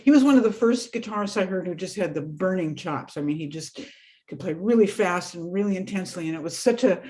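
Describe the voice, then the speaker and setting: A woman's voice: lowish voice, fairly quick. A woman vocalist with a light voice, chatting enthusiastically as a guest over a video call. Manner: animated, enthusiastic, laughs often. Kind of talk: casual interview chat.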